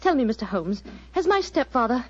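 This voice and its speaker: A refined young English gentlewoman living in fear, in an old radio mystery. Her highish voice has a British accent and a tense, formal, melodramatic delivery. The recording is slightly muffled. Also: sing-song, brisk, a little hiss or hum. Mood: fearful, urgent. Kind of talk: vintage radio drama acting. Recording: dull vintage radio recording.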